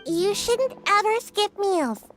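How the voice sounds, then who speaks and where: A tiny, childlike fairy companion, extremely high and squeaky with lively, swooping pitch. The character sounds inquisitive, like a game sidekick, with music playing behind. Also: female voice, takes it slowly, exaggerated voice. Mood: friendly. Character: small, childlike, curious floating fairy companion. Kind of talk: game voice acting.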